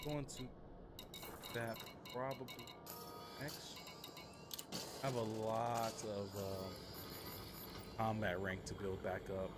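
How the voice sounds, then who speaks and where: A man's voice: fairly low pitch, level tone, slow pace, long pauses, very noisy. A man live streaming a space flight game, chatting casually with viewers and giving short voice commands. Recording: loud music over the voice. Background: loud music, vehicle engine sounds from the game.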